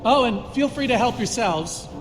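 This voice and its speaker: An actor playing an eccentric, boastful inventor in a video game, rambling theatrically and correcting himself. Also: male voice, very high voice, animated, normal speed. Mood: breezy. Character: eccentric, boastful male inventor with evasive humor. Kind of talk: video game character acting. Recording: echoey game audio under loud music.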